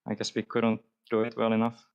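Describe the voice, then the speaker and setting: This is a man answering questions after a match as an esports player. His voice is smooth and resonant, but it barely moves in pitch. He answers briefly and hesitantly. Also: monotone, fairly quick, muffled recording. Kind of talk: post-match interview.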